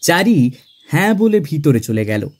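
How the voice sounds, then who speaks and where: A man playing a genial middle-class clerk who narrates his own tale in an expressive, theatrical audio drama style. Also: a little high, measured pace, heavily acted. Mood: warm storytelling. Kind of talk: dramatised first-person audio story narration. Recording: music under the voice.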